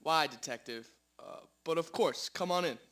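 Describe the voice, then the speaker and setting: A man's voice: fairly high pitch, moderate pace, sharp tone. A man playing a nervous, easily offended fat glutton in a radio drama, with stammering, defensive delivery. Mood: uneasy. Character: nervous, indignant fat man, gluttonous murder-mystery suspect. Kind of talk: amateur school radio drama acting.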